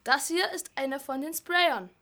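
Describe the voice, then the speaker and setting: A man's voice: very high-pitched, measured pace, sharp tone, heavily acted. A young boy playing a confident, matter-of-fact police officer in an amateur radio play. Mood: brisk, pleased. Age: child or young teen.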